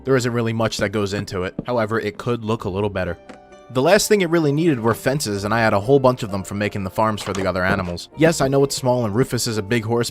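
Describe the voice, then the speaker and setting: Casual male gaming narrator, filtered voice. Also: medium pitch. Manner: informal, wry joking asides. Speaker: gaming video host. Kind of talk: casual scripted gameplay narration. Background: background music.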